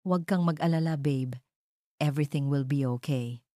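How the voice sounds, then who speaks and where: A woman's voice: deep voice, moderate pace, warm tone, no echo, lightly acted. Woman doing a smug young guy acting like a devoted boyfriend, audio drama, dry sound. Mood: falsely soothing. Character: pretending loving boyfriend, a smug younger man.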